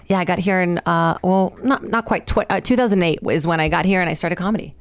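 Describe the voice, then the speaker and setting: A woman's voice: on the low side, quick pace, no echo. A woman in her thirties, a comedian from Brazil, telling stories as a guest in a casual podcast chat and acting out the people she quotes. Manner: animated storyteller, acts out quoted voices, laughs often.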